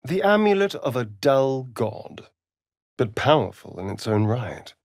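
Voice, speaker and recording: A man's voice: medium pitch, big pitch swings, unhurried, creaky, dry recording, studio quality, heavily acted. A man with a British accent playing a vain, sardonic immortal vampire rogue in a fantasy video game, theatrical and arch. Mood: wary, musing. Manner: arch, drawling, flamboyant.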